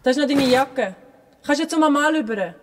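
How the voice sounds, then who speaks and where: Bossy grumpy swiss older sister, young, fairly high voice, noisy background, kids radio drama. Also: female voice, animated, normal speed. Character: irritable, bossy big sister in her young adulthood. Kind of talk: children's radio play acting.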